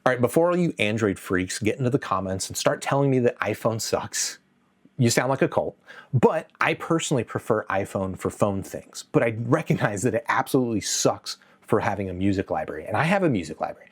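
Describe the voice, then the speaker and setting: A man's voice: on the low side, lively intonation, a little fast. A man presenting a casual video review as host, speaking in a conversational, opinionated and lightly joking way. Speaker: host of an audio gear review channel.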